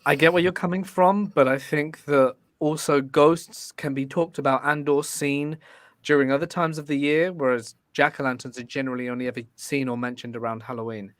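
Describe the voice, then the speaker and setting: Brief: male podcast guest, average pitch, measured arguer, dry close recording. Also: steady tone, a bit bright, no room sound. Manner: measured, building an argument. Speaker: debate guest, podcast host. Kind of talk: casual podcast debate.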